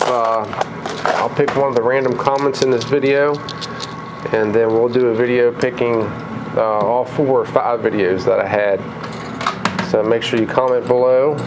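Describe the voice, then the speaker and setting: A man with a cold, a little raspy and tired, chatting casually through a coin pusher vlog in an arcade. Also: mid-range voice, background noise. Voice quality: slightly mumbled from a lozenge. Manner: chatty, excitable at wins. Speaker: vlogger.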